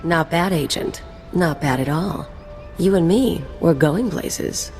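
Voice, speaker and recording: Spy's partner, slow drawl, cocky, admiring, game dialogue, music behind. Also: female voice, lowish voice, darkish tone. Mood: pleased. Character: cocky, admiring female partner of a secret agent. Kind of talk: dramatic video game acting. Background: background music.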